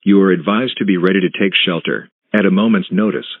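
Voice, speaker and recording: A man's voice: deep voice, fast talker, dry recording. A man reading a scripted emergency broadcast announcement in a formal, stilted way. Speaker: announcer of news and emergency alerts. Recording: muffled phone-like lo-fi sound.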